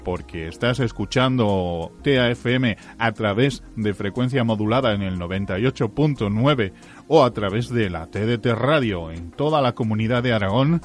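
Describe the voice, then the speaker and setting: A man's voice: on the low side, expressive, moderate pace, faint music behind. A man with a Spanish accent from Spain hosting radio, making casual, ad-libbed announcements with chatty, joking asides. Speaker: radio host.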